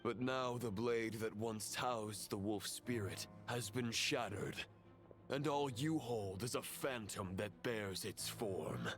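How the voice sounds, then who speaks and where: A solemn phantom of a fallen knight in a video game. The voice is on the low side and very unhurried, with cold conviction and archaic, formal phrasing. Dramatic music plays clearly behind it. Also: male voice. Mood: solemn, grave.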